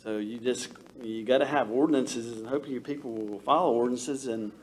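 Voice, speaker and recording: Builder speaking at public comment, mid-pitch man, plain-spoken, rambling, faint room noise. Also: fairly quick, poor audio. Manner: folksy, emphatic, rambling restarts. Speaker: local builder.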